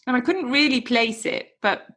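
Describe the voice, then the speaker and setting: A woman's voice: fairly high pitch, moderate pace, a bit bright. A woman, hosting a casual interview in an interested, encouraging way. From North Wales. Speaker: podcast host, interviewer.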